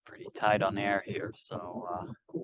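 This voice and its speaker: A man's voice: deep voice, flat delivery, a little slow, a crowd in the background. A man playing a tabletop roleplaying game, chatting casually and wryly, sometimes speaking in character. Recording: clear background noise with clicking.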